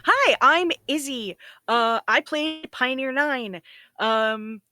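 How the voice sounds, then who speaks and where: A woman's voice: high-pitched, lively intonation, takes it slowly, bright, forceful tone, no echo, clean studio sound. A woman who podcasts and performs, chatting casually and plugging shows. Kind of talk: casual chat and plugs.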